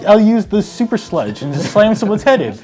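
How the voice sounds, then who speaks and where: A man's voice: high voice, big pitch swings, fast, a crowd in the background. A man taking part in a debate show, making his case in casual, chatty speech. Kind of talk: casual argumentative chat.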